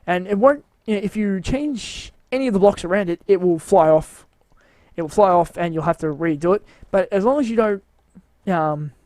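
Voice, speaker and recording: Tired man in a dry booth, high voice, hesitant rambling tour of his build, pokes fun at himself. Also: a little mellow, no room sound. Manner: rambling, hesitant, self-mocking. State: tired, voice wearing out. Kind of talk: casual rambling walkthrough commentary.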